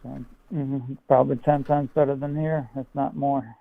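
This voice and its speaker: A man's voice: medium pitch, level tone, normal speed, creaky. A young man chatting casually over a phone line, guarded and noncommittal but easygoing. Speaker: person on the other end of a call. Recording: tinny, narrow phone line.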